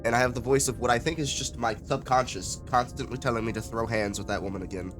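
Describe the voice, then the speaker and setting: Brief: male streamer, mid pitch, swearing and joking over gameplay, faint game music. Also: fairly even intonation, fast. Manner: profane, joking, reactive. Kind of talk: casual live gameplay commentary.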